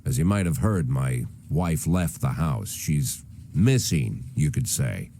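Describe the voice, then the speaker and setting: An actor playing a sardonic, aging American police chief with a gruff, dry delivery in a video game. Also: male voice, a bass voice, animated, slow pace, mellow, a little hiss or hum, exaggerated voice. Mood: weary, businesslike. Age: older. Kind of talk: naturalistic video game voice acting.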